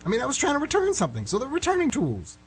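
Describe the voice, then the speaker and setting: A man's voice: extremely high pitch, a little hiss or hum, full character voice. A man acting a flustered, middle-aged guy who nervously rambles and corrects himself in an amateur radio drama. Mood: defensive. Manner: nervous, halting, self-correcting, excitable. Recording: slightly rough amateur radio recording.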